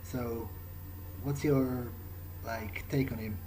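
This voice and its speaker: A man improvising in character as he plays a video game, conversational, halting and thinking aloud. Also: medium pitch, steady tone, background noise. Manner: hesitant, musing, halting. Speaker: gamer playing in character.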